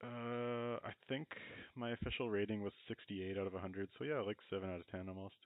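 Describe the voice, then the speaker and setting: Guy with a lowish voice hosting a casual podcast, kinda hedgy, dry tinny phone-like sound. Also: fairly even intonation, brisk, no echo. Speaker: podcast host. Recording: muffled narrow-band phone-like audio.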